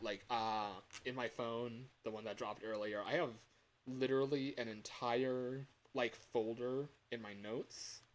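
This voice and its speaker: A man talking casually on a livestream as a game developer, thinking aloud in a hesitant, halting way. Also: medium pitch, slight background noise.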